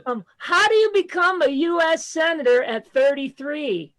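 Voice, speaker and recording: A comedian in his fifties with an unusually high voice for a man, telling self-deprecating jokes in a deadpan way over a video call. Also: normal speed. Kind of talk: stand-up comedy set.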